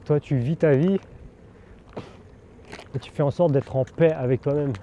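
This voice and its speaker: A man's voice: a little high, measured pace, mellow. A man born in France, talking candidly while riding a bike outdoors, rambling with many fillers and restarts. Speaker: vlogger. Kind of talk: casual spoken monologue. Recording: dull outdoor recording with background noise.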